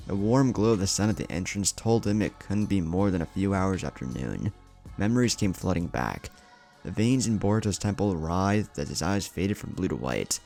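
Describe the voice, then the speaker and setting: Deep, gravelly male story narrator. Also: a little mellow. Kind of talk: scripted narration of fan fiction.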